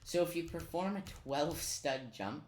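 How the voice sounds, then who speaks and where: A man's voice: a little high, lively intonation, unhurried. A man giving a casual, step-by-step game walkthrough with playful asides. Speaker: gamer giving a tutorial. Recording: roomy echo, noise, keyboard clicking. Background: computer keyboard typing and clicks.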